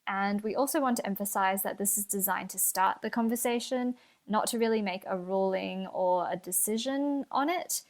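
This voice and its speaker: A young woman co-hosts a casual podcast at a middle pitch. She talks in a careful, thoughtful way, softening her points with fillers and qualifiers. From Australia. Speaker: podcast co-host.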